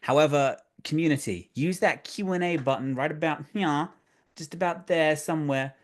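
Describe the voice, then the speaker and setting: A man's voice: a little high, moderate pace. Upbeat man moderating a Q&A. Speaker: session moderator. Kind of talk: casual moderating.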